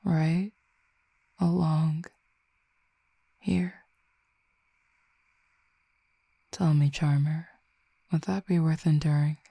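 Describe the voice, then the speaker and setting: A woman voicing a commanding, teasing fallen Cupid in an audio roleplay, speaking slowly and intimately with soft whispered stretches. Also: sits low, steady tone, gravelly, breathy edge, no echo, full character voice. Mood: teasing, dominant. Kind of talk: audio roleplay addressing the listener.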